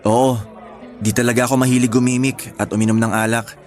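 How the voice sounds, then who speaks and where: A male actor plays a quiet young homebody in a radio drama. He speaks in a mid-pitched, low-key voice, casual and gentle, with faint music behind him. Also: flat delivery, brisk, close to an everyday voice. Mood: matter-of-fact, regretful. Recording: faint music under dialogue.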